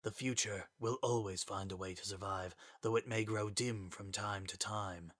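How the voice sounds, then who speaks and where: A man performs a solemn scientist lost to the world, in a low, quiet voice. His delivery is formal and measured, heavy with regret and resignation, as in a dramatic audiobook. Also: fairly even intonation. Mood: resigned, sorrowful.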